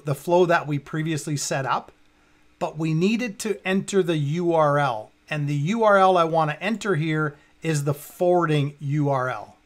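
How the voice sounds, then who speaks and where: A man in his fifties with a fairly high voice presents a software walkthrough. He speaks casually, talking himself through each step as he works on screen. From Canada. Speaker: tutorial presenter. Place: indoors, at a computer.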